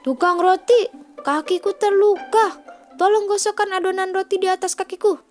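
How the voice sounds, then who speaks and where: A woman's voice: extremely high pitch, fairly even intonation, normal speed, soft background music, voice pushed up. A woman voicing a greedy, wicked, scheming male wolf in a children's storybook tale. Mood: demanding.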